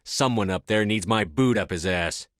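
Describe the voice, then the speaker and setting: A man acting in a video game as a commanding, resolute space soldier, speaking firmly with measured authority. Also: on the low side, a bit bright, no room sound, studio quality, close to an everyday voice. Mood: defiant, determined. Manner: firm, authoritative, measured delivery. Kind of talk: scripted game dialogue acting.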